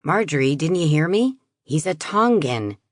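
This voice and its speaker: A man's voice: a little high, sing-song, moderate pace, no echo, clean studio sound. A woman voicing a stiff, prejudiced middle-aged husband who talks in a stubborn, evasive way.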